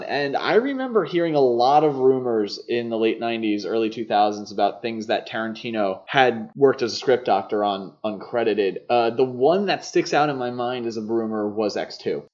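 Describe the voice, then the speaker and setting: Male podcast host, mid-pitched voice, casual and opinionated film chat, slightly dull lo-fi recording. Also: a little mellow.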